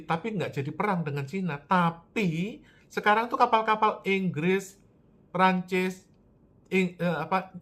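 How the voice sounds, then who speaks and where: A man's voice: high-pitched, fairly bright tone. A man from Java, giving an emphatic lecture-style political talk, asking rhetorical questions and answering them himself. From Java, Indonesia.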